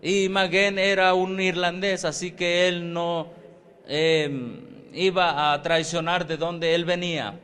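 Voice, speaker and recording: A man's voice: high voice, fairly even intonation, slow pace, fairly bright tone. A man interpreting a sermon phrase by phrase, with filler sounds and short asides. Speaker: interpreter and preacher.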